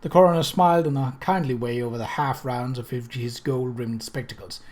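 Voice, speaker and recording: A narrator with a mid-pitched voice reads literary fiction aloud. The delivery is scripted, measured storytelling suited to an audiobook. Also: darkish tone. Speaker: audiobook reader.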